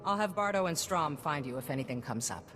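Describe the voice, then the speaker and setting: Firm bossy lady governor for a video game, lowish voice, big echo, music behind. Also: expressive, fairly quick, a bit bright. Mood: satisfied. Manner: firm, commanding, oratorical. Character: stern, driven woman governor of a reconstruction project. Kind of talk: scripted video game acting. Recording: long reverb, music underneath.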